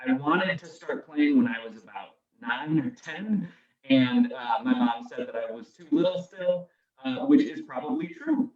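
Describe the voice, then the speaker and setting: A man's voice: on the high side, very animated, unhurried, vocal fry, reverberant, recorded from a distance, narrow, tinny audio, a crowd in the background. A man guesting as a children's musician, explaining things simply and encouragingly to young listeners. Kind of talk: children's singalong and friendly explaining. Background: acoustic guitar.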